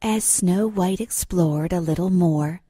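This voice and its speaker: A woman's voice: fairly low pitch, slow, a little hiss or hum. A woman storyteller giving a scripted, rhyming fairy-tale narration for children. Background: loud music with chimes.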